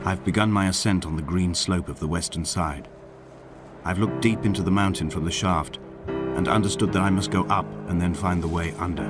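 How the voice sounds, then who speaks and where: An actor playing a grieving, weary male castaway, narrating a video game's literary monologue in a solemn, measured, poetic way. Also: sits low, natural voice. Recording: voice over music.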